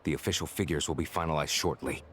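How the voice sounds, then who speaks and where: An actor playing a stern officer in a video game, speaking in a formal, measured manner. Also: male voice, rumbling low, fairly even intonation, husky. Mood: official. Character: stern, formal male officer reporting findings.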